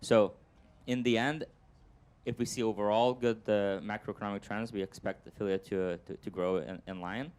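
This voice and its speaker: A man's voice: fairly low pitch, steady tone, moderate pace, darkish tone. A man speaking on an industry panel, upbeat and analytical. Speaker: panelist, performance marketer. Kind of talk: panel discussion answer.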